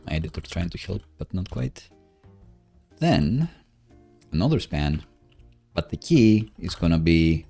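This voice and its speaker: Low-pitched man thinking aloud while live coding. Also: warm tone. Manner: halting, thinking aloud as he works.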